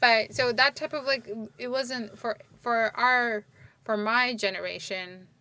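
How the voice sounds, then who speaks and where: A woman's voice: a little high, fairly even intonation, unhurried, pushed and bright. A woman in her forties from Turkey, chatting as a podcast co-host in a reflective, rambling way. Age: 40s. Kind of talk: casual chat.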